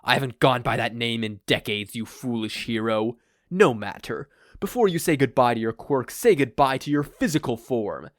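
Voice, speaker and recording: A man's voice: medium pitch, lively intonation, normal speed, no room sound, studio quality, close to an everyday voice. A man playing a grandiose, self-deluded male supervillain with a pompous, melodramatic, wordy delivery in a fan fiction reading. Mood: threatening.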